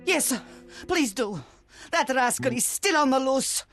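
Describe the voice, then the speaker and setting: Excitable shopkeeper. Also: female voice, high-pitched, a little slow, soft background music. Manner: fussy, excitable. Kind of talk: video game voice acting.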